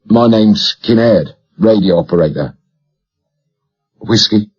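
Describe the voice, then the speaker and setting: A man voicing a weary, wry Cockney radio operator with a hoarse, weak voice in an audiobook. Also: fairly low pitch, slow pace, muffled recording. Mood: wry, welcoming. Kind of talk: audiobook character dialogue.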